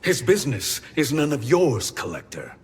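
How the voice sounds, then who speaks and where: A man's voice: mid-range voice, big pitch swings, moderate pace, background noise. A man voicing a steadfast warrior ally in a video game, speaking firmly and directly. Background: game score music.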